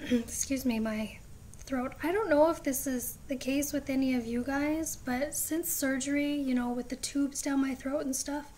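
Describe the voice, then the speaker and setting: A woman vlogging a casual update, chatty and rambling with frequent ums, her voice raspy at times. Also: sits high, a little slow, a little mellow, slight background noise.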